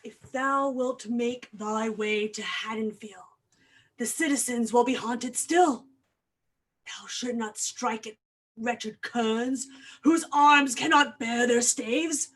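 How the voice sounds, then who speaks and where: An anguished, obsessed doctor on the hunt played by a woman declaims mock-Shakespearean tragedy on stage. The delivery is extremely slow and intense, in a slightly high, husky voice. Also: a bit bright. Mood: grim, determined.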